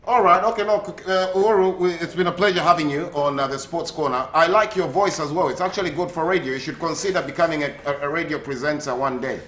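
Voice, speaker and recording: A man's voice: fairly high pitch, fast talker, few pauses, fairly bright tone, roomy sound, a little hiss or hum. A man from Zambia hosting a sports radio show, asking friendly questions with many hesitations and fillers. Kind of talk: radio phone interview. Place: living in the UK.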